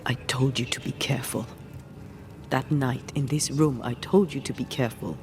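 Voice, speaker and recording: A woman's voice: rumbling low, steady tone, moderate pace. Grave, resentful ghost woman, music behind. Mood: angry, reproachful. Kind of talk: dramatic video game acting.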